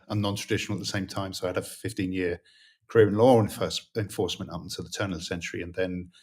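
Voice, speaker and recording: A British man in his fifties, a guest in an interview, talking in a relaxed way with many pauses and fillers. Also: low-pitched. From Britain. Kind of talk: interview conversation.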